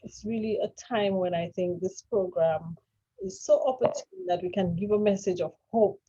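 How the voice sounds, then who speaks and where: A woman's voice: on the low side, warm tone, slightly rough. A woman with Caribbean roots hosting an interview, effusive and encouraging as she introduces her guest. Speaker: interview host. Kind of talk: hosted interview. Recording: dull lo-fi sound.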